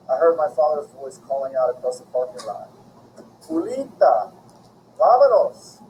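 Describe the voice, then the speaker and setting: A man reading a story aloud expressively, with deliberate, animated phrasing and dramatic pauses. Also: on the high side, low-quality recording. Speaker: reader. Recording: faint background noise.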